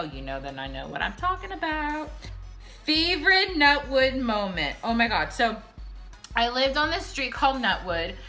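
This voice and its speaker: A young Californian woman speaks in a high, very bright and forceful voice over faint music. She is tipsy and chatty, telling stories casually with brash slang, plenty of swearing and dramatic emphasis. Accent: Californian American. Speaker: YouTube vlogger. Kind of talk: casual storytime Q&A.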